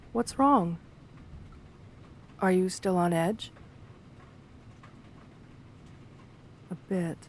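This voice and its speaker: A woman voicing a shy, lonely, romantic gargoyle girl in a gentle ASMR roleplay, speaking tenderly with formal, bookish wording. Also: mid-range voice. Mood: tender, soothing. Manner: tender, soft-spoken, formal old-fashioned wording.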